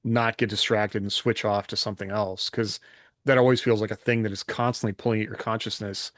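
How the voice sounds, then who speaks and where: A man's voice: fairly low pitch, level tone, brisk, fairly bright tone, no echo, clean studio sound. A middle-aged man talking casually as a podcast host in a reflective, loosely rambling way.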